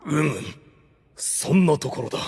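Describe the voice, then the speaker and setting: A man's voice: fairly high pitch, moderate pace, a little mellow, slightly rough. A man playing a commanding, pompous undead overlord who is privately flustered, in a Japanese anime audio drama. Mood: guarded. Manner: pompous, lordly. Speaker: ruler addressed by his subordinates. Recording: long hall-like reverb on voice.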